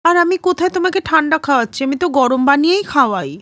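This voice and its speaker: A high, very lively voice for a young cartoon daughter-in-law acted by a woman narrator. She is pert and emphatic, breezily defending herself. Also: fast talker, no echo. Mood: defensive. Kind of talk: animated moral-story dialogue.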